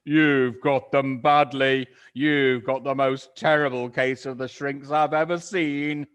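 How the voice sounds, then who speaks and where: A man's voice: medium pitch, close to an everyday voice. A man doing a gloating, nasty old man. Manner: slow, drawn-out and mocking.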